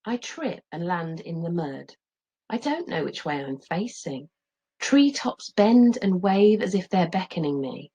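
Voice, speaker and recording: Animated, slightly husky woman reading aloud. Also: lowish voice, measured pace, muffled recording. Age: middle-aged. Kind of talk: expressive children's story narration and lesson.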